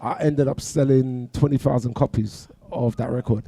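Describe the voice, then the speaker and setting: London guy on radio, chatty, dark mellow voice, tells his story. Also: mid-range voice, steady tone, moderate pace, breathy edge, dry recording. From London, England. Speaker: guest DJ and producer. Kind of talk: casual radio interview. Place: radio studio. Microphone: studio mic.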